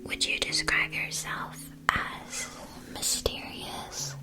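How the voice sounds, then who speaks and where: A woman playing a gentle, attentive witch school shopkeeper in an ASMR roleplay, speaking in a soft, breathy whisper with careful, polite phrasing. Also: slow pace, over music, lightly acted, rough recording. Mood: gentle, informative.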